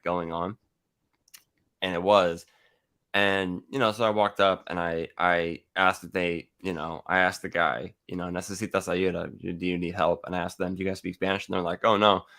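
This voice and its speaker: Deep-voiced American man chatting casually. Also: steady tone, moderate pace, pushed and bright. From California, United States. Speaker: livestream host. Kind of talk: casual, profane online chat.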